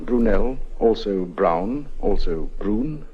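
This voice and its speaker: Casting: urbane British spy chief, husky and lowish, clipped understatement, vintage radio drama. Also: male voice, lively intonation, slow, dark tone, lo-fi sound, exaggerated voice. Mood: dry, insinuating. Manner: clipped, understated, genteel upper-class phrasing. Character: suave, breezy male British intelligence captain recruiting an agent. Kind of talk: 1950s radio drama acting.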